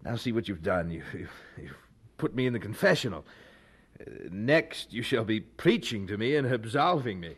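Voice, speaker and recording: An actor playing an earnest, troubled young university student in a radio drama, speaking formally and with some hesitation. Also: male voice, on the low side, sing-song, normal speed, husky. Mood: self-conscious, hesitant.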